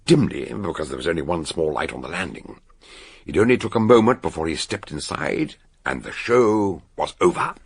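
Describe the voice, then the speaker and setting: A man's voice: low-pitched, expressive, gravelly, breathy edge, heavily acted. An older British actor playing an erudite amateur detective in a radio drama, laying out his explanation with theatrical, emphatic relish. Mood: triumphant, explanatory. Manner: dramatic, emphatic, deliberate storytelling. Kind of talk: radio drama acting, expository storytelling.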